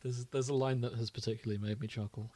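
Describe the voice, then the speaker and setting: A man's voice: mid-range voice, fairly even intonation, fast, dark tone. A man co-hosting a casual podcast, bantering and reading fan fiction aloud in a jokey way, often laughing. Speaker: podcast co-host.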